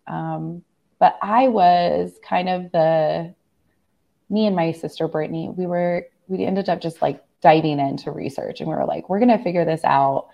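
A young woman with a low, gravelly voice, hoarse from a recent bout of laryngitis. She talks earnestly and meanders, full of fillers and restarts. The sound is dull and muffled, as on a lo-fi recording. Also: moderate pace. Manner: earnest, rambling with many fillers. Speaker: guest. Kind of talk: personal testimony in a conversation.

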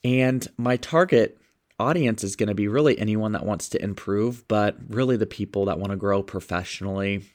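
A man's voice: on the low side, normal speed, a little mellow. A man with a stuffed-up nose hosting a motivational podcast, talking with upbeat enthusiasm as a sales coach. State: stuffed up, sniffling.

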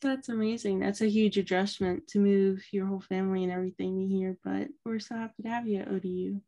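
A woman's voice: medium pitch, steady tone, a little fast, warm tone. A woman co-hosting a podcast, reading a set welcome and asking the guest polite questions. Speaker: podcast co-host. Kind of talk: scripted podcast intro and interview questions.

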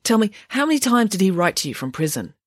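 Storybook role: woman playing a sharp-witted, unflappable girl detective who explains bluntly, with animated pitch. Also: fast talker, no echo, studio quality. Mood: insistent. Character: clever, blunt, self-assured schoolgirl detective.

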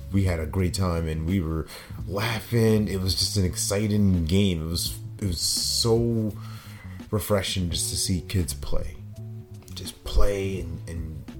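Deep-voiced, slightly hoarse man over guitar music. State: hoarse after a concert. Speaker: podcast host. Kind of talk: personal motivational monologue.

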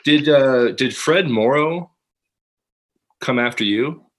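A man's voice: medium pitch, takes it slowly, fairly bright tone. A man hosting a casual chat, asking hesitant questions full of fillers. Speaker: podcast host.

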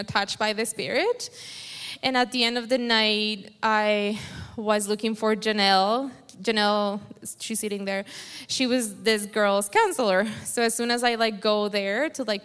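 A woman's voice: medium pitch, fairly bright tone, smooth, big-room sound. A woman from Colombia with a Colombian Spanish accent, a native Spanish speaker, telling a church testimony in a chatty way full of "like" fillers. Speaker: church member giving testimony.

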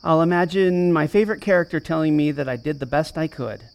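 A woman's voice: low voice, fast talker, darkish tone. A woman acting in a fiction podcast as a devoted, protective advocate who blames herself, earnest and wry in manner. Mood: subdued, guilty. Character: Feeny, devoted, self-blaming advocate with protective fire.

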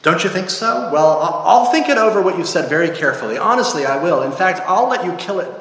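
Storybook ghost, played by a man: pitched-up, jittery, evasive, old-fashioned politeness, rambling pleas, long reverb. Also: animated, fast talker, a bit bright. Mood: flustered, polite pleading. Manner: flustered, stammering, rambling excuses in old-fashioned polite English phrasing. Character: nervous, cowardly male ghost, fussily polite, pleading and evasive. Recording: echoey hall with long reverb.

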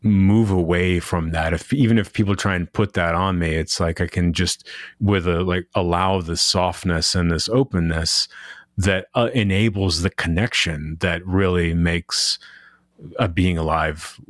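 A man with a deep voice, hosting a podcast and speaking thoughtfully and softly in a reflective conversation. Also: steady tone, a little slow, no echo, studio quality. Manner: thoughtful, soft-spoken, rambling. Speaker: podcast host.

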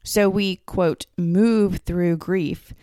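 A woman hosting her own podcast, talking loosely and conversationally while hoarse and a little raspy from a cold. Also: lowish voice, fairly even intonation, very slow, dark tone, dry recording, clean studio sound. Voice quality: slightly raspy. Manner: loose, conversational, many "like" and "kind of". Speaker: podcast host. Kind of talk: solo podcast talk.

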